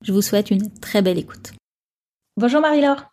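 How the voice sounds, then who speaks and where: A woman's voice: mid-range voice, expressive, brisk, dark tone. A woman hosting an interview podcast, introducing her guest and asking polite questions. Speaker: podcast host.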